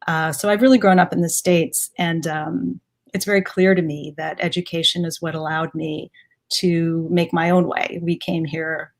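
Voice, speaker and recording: A woman's voice: fairly low pitch, vocal fry. A woman from Ethiopia, a guest on a live online panel, speaking in a thoughtful way with many fillers. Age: adult.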